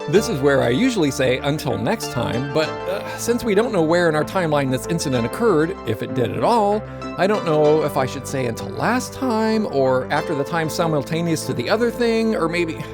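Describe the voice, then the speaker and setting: A man playing a flustered, wry narrator, reading comic scripted credits for an audio drama. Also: on the high side. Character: flustered, deadpan male show narrator.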